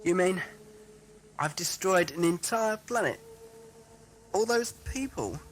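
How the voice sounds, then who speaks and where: A man's voice: high voice, lively intonation, takes it slowly. Male actor as adventurer doctor, flippant, decisive, theatrical, audio drama, music underneath. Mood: stricken, remorseful. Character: flippant, decisive time-travelling Doctor, adult man. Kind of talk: fan audio drama acting. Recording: music over old cassette recording.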